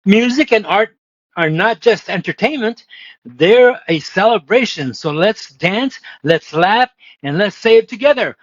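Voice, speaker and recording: A man's voice: sits high, lively intonation, measured pace, no echo, lo-fi sound, pitched above the performer's own voice. A man voicing a joyful male spirit of music and laughter who rallies listeners. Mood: exuberant. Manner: exuberant, rallying.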